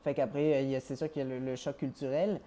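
Young French man, mellow voice. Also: mid-range voice, fast talker. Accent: French, partly Québécois. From France. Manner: animated storyteller, acts out dialogue, laughs often. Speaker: guest. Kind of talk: casual interview chat.

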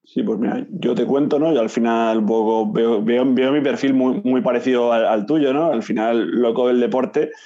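A man in his thirties from Madrid, a guest telling his career story in a relaxed, chatty way, often tagging sentences with a question. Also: medium pitch, normal speed, hardly any pauses, dull audio. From Madrid, Spain. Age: 30s. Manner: relaxed, chatty, tags lines with "¿no?". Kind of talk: casual interview talk.

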